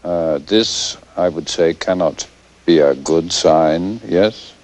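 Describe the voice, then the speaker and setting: A grave male scientist answers formally on television. He speaks low and very slowly, weighing his words with dry point. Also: a little mellow. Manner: formal, measured, tag questions. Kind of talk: televised expert interview acting.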